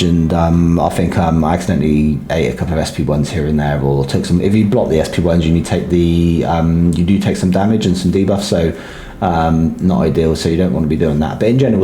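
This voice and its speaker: A man with a sore throat giving casual, hesitant game commentary full of ums. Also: mellow. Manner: hesitant, filler-heavy, low-key. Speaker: gaming guide creator. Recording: roomy echo.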